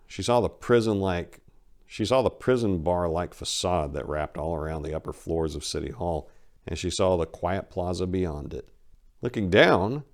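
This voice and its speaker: A man's voice: low voice, vocal fry. A man narrating a crime story as a dramatic scripted reading.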